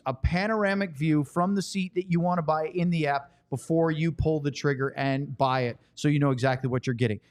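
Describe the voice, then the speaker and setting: A man hosting a sports podcast, moving between conversational talk and sponsor reads. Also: on the high side.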